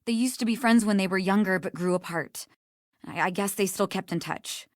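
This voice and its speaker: An actress playing a guilt-stricken, frightened woman in a video game, speaking in a halting, pleading way. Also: medium pitch, no echo, studio quality, natural voice. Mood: worried, subdued.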